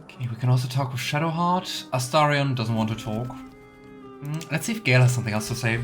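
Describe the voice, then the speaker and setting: A man's voice: mid-range voice, quick pace, a bit bright. A man hosting a let's play, chatting casually and musing aloud as he goes. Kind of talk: casual game commentary. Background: game music.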